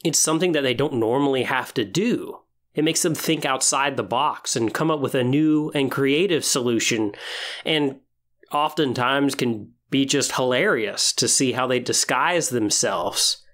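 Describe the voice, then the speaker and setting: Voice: medium pitch, a bit bright. A podcast host talking solo about tabletop role-playing in a conversational, explanatory way.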